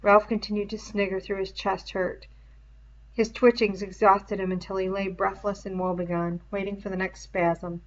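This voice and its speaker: A woman narrating a novel aloud in an expressive, literary storytelling style. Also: mid-range voice, normal speed, muffled recording.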